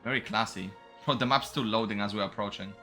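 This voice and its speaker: A man's voice: lowish voice, quick pace, sharp tone, soft background music. A young man giving casual, slangy gameplay commentary, musing aloud as he goes along. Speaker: game streamer.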